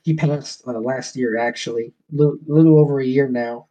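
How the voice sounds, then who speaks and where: A man's voice: mid-range voice, steady tone, normal speed, dark tone. A man from Georgia with a Southern US accent, chatting and telling stories casually as a podcast guest. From Georgia, USA. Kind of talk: casual storytelling chat. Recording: dull lo-fi call audio.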